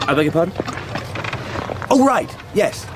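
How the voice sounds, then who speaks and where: Eager, higher-voiced young English solicitor. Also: male voice, sing-song, a little slow, background noise. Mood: eager, chatty. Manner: well-spoken, formal, wordy, hesitant fillers. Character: young English solicitor, polite, eager, chatty, slightly rattled. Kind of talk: naturalistic radio drama acting.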